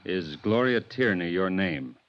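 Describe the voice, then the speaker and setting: A gruff, laconic police sergeant in a 1950s radio drama. His voice is deep and weary, with plain, deliberate delivery. The vintage recording sounds muffled. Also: lively intonation. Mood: official, reluctant. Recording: dull old radio recording.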